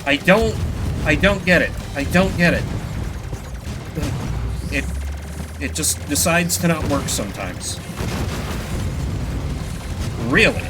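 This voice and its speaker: A man doing casual live gameplay commentary, rambling in a self-deprecating way with casual swearing. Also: a little high. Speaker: video game streamer.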